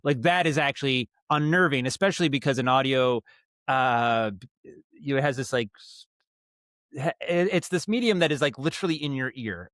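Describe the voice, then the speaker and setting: A man with a middle-range voice talks as a guest in a casual interview. He explains things thoughtfully and haltingly, often restarting to correct himself. The sound is dry, with very little room on it. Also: medium pitch, a bit bright, no room sound. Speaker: guest, audio drama writer and director. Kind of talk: casual podcast interview talk.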